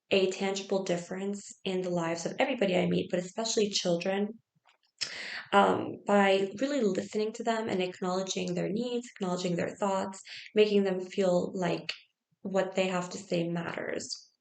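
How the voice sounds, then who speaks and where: A young American woman whose first language was Farsi speaks earnestly about her tutoring work. Her voice sits at a middle pitch, and she talks in a chatty way with many "um"s. The sound is echoey, from a small classroom. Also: steady tone, moderate pace, vocal fry. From United States. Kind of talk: personal introduction to camera.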